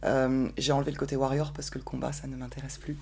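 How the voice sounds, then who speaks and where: A woman's voice: extremely low pitch, level tone, fast, vocal fry, a little mellow, slight background noise. A woman talking casually and personally as a podcast guest. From grew up between Bordeaux, France and New York.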